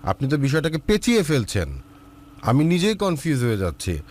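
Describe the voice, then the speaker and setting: A man's voice: a little high, huge pitch swings, brisk, crowd noise. A man hosting a love advice show, questioning a caller bluntly and impatiently. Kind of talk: counseling a caller.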